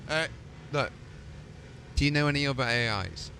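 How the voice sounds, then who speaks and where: A man's voice: mid-range voice, normal speed, sharp tone, a little hiss or hum, poor audio. A man in casual role-play chat as a game streamer playing a ship commander.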